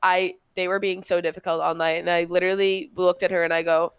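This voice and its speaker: A woman's voice: fairly low pitch, flat delivery, quick pace, clean tone, no echo. A young woman co-hosting a podcast in casual chat, blunt and swearing freely as she tells stories. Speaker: podcast co-host.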